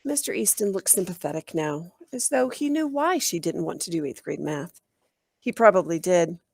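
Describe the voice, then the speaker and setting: A woman reading a children's book aloud in an animated, expressive storytelling style. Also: fairly low pitch, normal speed, vocal fry. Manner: animated, acts out dialogue.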